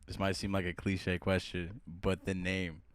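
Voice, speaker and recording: A man's voice: sits low, monotone, moderate pace. A man in his twenties hosting a podcast, asking easygoing, slangy questions in casual chat. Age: 20s. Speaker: podcast host. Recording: faint background noise.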